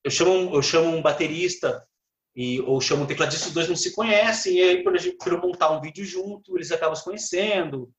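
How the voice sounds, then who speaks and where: A man with a Brazilian accent, a guitarist chatting as a podcast guest in a relaxed, halting way full of fillers and restarts. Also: on the high side, lo-fi sound. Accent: Brazilian Portuguese. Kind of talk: casual podcast chat. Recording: roomy echo.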